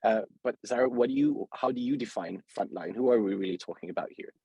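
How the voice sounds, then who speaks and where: A man's voice: lowish voice, fast talker, creaky, mellow, husky, no echo, lo-fi sound. A man with a Swedish accent hosting a webinar interview, speaking hesitantly with many fillers and restarts. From Sweden. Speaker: host, company founder.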